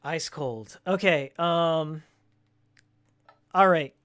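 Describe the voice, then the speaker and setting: Raspy game streamer, casual and opinionated. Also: mid-range voice, drawn-out words, fairly bright tone. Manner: opinionated, joking, slangy. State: voice worn from screaming and ranting. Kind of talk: casual rambling gameplay commentary with fan trivia.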